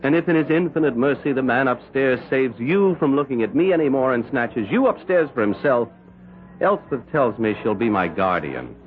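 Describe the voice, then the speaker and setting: An actor playing a teasing, wheedling husband with a scheming streak, in casual radio drama style. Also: male voice, medium pitch, expressive, moderate pace, close to an everyday voice. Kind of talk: 1970s radio drama acting. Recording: tinny, narrow-band old radio broadcast.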